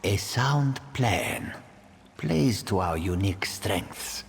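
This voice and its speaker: Deep gravelly beast-folk warrior. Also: male voice, expressive, full character voice. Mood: wary, approving. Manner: slow, deliberate, drawling. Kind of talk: dramatic fantasy game dialogue. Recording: reverberant hall with background noise.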